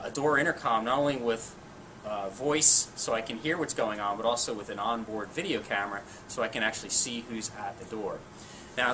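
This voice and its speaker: A man's voice: medium pitch, a bit bright. A man in his forties giving an informal, plain-spoken technical product demonstration. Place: indoor equipment lab or demo room. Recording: clear background noise in room.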